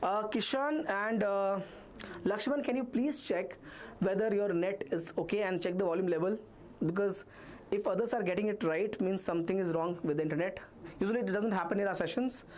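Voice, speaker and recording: A man's voice: high voice, moderate pace, darkish tone. A man with an Indian accent teaching a live online maths revision class, reassuring students and reading their comments aloud.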